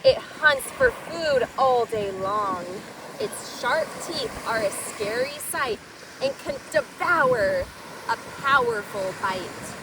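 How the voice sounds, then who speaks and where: A woman's voice: on the high side, big pitch swings, takes it slowly. A woman hosting a children's story time, reading a picture book aloud expressively and explaining things simply to young listeners. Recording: distant mic, noisy surf-like outdoor ambience.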